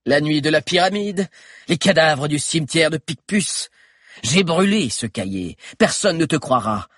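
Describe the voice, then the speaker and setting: A man voicing a sneering, menacing male stag lord, pitched well above his own voice. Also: animated, unhurried, a bit bright, no room sound, clean studio sound. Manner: mocking, menacing.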